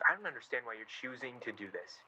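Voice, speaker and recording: A man's voice: mid-range voice, big pitch swings, fast talker, sharp tone, slight background noise, low-quality recording. Tense, touchy newspaperman. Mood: annoyed. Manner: indignant, defensive. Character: touchy, self-important newspaperman, defensive and protective. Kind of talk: radio play acting, 1930s period drama.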